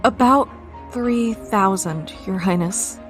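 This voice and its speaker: A woman's voice: medium pitch, slow pace, faint music behind, close to an everyday voice. A woman playing an anxious, deferential doctor in an audio drama, hesitant and nervous. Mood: uneasy.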